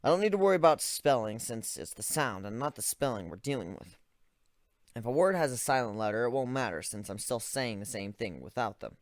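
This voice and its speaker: A man's voice: mid-range voice, lively intonation, a little fast, no echo, studio quality, natural voice. A man voicing a young griffin inventor, analytical and weary, in a dramatic story reading. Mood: focused, explaining. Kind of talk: dramatic reading of first-person fan fiction.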